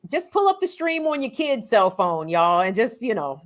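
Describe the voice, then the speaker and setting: A woman's voice: a little high, very animated, moderate pace. A woman with a Central Maryland accent, co-hosting a casual live-stream chat with playful, teasing banter. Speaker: co-host.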